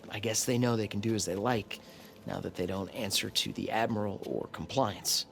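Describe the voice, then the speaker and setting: A man's voice: lowish voice, steady tone, moderate pace, creaky, fairly bright tone, faint music behind. A man playing an anxious, regretful follower of a cult in a video game, hesitant and subdued. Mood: wary. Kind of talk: naturalistic video game cutscene acting.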